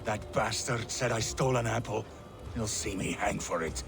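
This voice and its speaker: An actor playing a bitter, sardonic condemned commoner in medieval England, in video game dialogue. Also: male voice, lowish voice, moderate pace. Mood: bitter, mocking. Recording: clear background noise.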